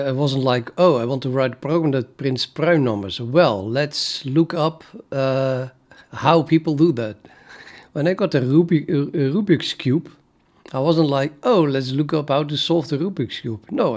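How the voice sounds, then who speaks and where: Dutch-accented man, native Dutch speaker, rambling casual coding-stream chat, halting and full of restarts, mid-range voice. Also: mid-range voice, a little mellow. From Netherlands. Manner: thinking aloud, many fillers and restarts. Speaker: programmer streaming his coding.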